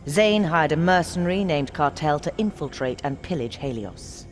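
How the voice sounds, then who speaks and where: An actress voicing a stern, middle-aged resistance leader in a video game, grave and authoritative. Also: female voice, low-pitched, lively intonation, normal speed, soft background music. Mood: grave, briefing. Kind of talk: game cutscene briefing.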